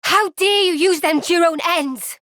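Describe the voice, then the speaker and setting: A woman with a British accent voicing an earnest, gentle, thoughtful young woman soldier in a video game cutscene. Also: extremely high pitch, moderate pace, dry recording, clean studio sound. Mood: indignant.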